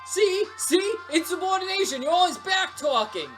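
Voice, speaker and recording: A woman's voice: on the high side, expressive, moderate pace, fairly bright tone, faint music behind. A woman voicing a domineering, scolding male pizza store manager in a story. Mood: stern, accusing. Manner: domineering, accusing.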